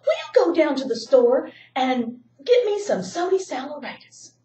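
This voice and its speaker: A woman voicing a kindly grandmother in a children's story, sweet and bright, recorded from a distance in a roomy space. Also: high-pitched, sing-song, normal speed, voice pushed up. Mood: asking. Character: kindly grandmother baking at home. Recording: roomy echo, distant mic.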